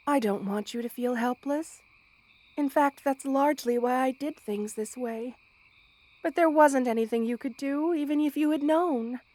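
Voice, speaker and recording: A high-pitched, tender spider queen, voiced by a woman, ancient and motherly. She speaks in measured, formal phrases meant to soothe. The delivery is intimate ASMR roleplay, addressed straight to the listener. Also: darkish tone, dry recording, lightly acted. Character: motherly, gentle two-hundred-year-old spider queen monster woman.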